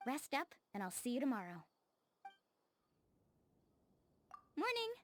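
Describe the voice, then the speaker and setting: A woman's voice: high-pitched, fairly bright tone. A woman voicing an upbeat, confident teenage girl in a video game, breezy, friendly and full of casual enthusiasm. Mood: cheerful, friendly. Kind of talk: game character voicelines.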